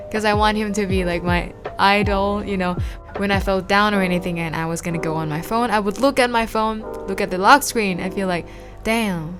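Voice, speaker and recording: Young Thai woman, native Thai speaker, casual and chatty, mid-pitched voice over clear background music. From Thailand. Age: young adult. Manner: chatty and informal, with frequent "like" and "um". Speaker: vlogger. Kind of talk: casual study-tips vlog.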